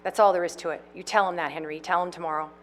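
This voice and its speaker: A woman's voice: lowish voice, steady tone, rapid-fire, slight background noise, lightly acted. Woman reading as a stern bossy older sister. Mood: firm. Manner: scolding, clipped.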